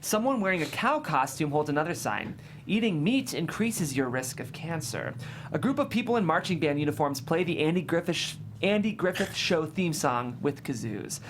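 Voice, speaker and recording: A man's voice: fairly high pitch, sing-song, moderate pace, a little hiss or hum. A man serves as narrator at a script table read. He reads scene descriptions and stage directions as scripted narration.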